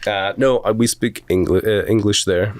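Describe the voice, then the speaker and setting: A middle-aged man with a softened Scottish accent, an animation instructor telling rambling, self-deprecating stories in a relaxed interview. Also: fairly low pitch, moderate pace, a loud crowd. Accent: Scottish, softened toward American. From Scotland.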